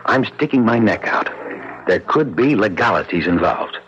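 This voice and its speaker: A man's voice: on the low side, lively intonation, normal speed, fairly bright tone. An actor playing a worried, principled male chief of staff at a hospital in a 1970s radio drama, grave and emphatic. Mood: firm, suspicious. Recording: dull lo-fi radio recording, crowd murmur behind.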